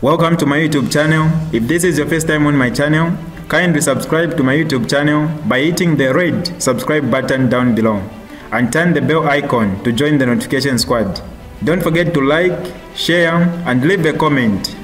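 A man's voice: fairly high pitch, normal speed, low-quality recording. Young Zambian male channel host, upbeat intro and news read, light music bed. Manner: upbeat presenter greeting viewers. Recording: faint music behind.

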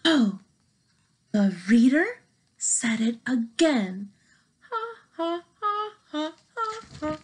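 A young woman reading a children's picture book aloud in an expressive, dramatic and playful manner. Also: high voice, extremely slow, long pauses. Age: young adult. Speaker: storybook reader. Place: small bedroom, indoors.

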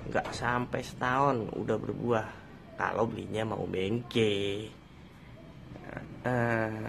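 A middle-aged man speaks slowly and loosely, his voice gravelly. He shows off his potted trees in a folksy salesman's way, referring to himself by name. Recorded on a phone outdoors, with clear noise underneath. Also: medium pitch. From Indonesia. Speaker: durian grower showing his trees. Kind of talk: casual garden tour vlog.

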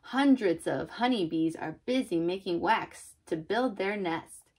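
A young woman reading a children's picture book aloud in an expressive way, as if to a small child. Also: medium pitch, a little slow, husky. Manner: expressive, child-directed, asking listeners questions.

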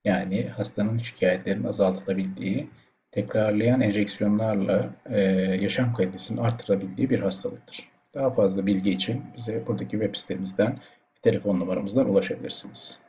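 A man's voice: lowish voice, steady tone, brisk, warm tone, muffled recording, slight background noise. A man presenting as a doctor, narrating medical slides in an explanatory way. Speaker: doctor presenting patient voice samples.

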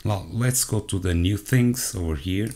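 A man from Portugal with a Portuguese accent, hosting a software walkthrough in English as his second language, hesitant and full of self-corrections, talking straight to his viewers. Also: lowish voice, unhurried. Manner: addresses viewers directly. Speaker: tech channel host. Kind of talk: screen-recorded software walkthrough.

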